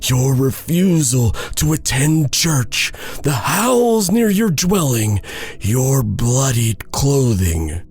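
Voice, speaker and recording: A man's voice: mid-range voice, sing-song, slow pace, darkish tone, husky, voice pushed up, full character voice. A man voicing a stern, zealous village pastor who intones formally and commands as judge in a storybook tale. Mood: stern, accusing.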